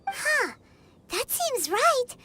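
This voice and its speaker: A woman voicing a small, curious, childlike companion creature in a video game, squeaky and wide-eyed. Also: very animated, background noise, heavily acted. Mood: puzzled. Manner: wide-eyed, exclaiming, drawn-out words. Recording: loud music behind the voice.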